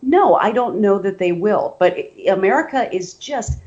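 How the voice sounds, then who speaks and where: A woman forensic psychologist speaking as a guest on a talk podcast, articulate, measured and emphatic. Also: mid-range voice, lively intonation, darkish tone, dull audio. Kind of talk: expert discussion.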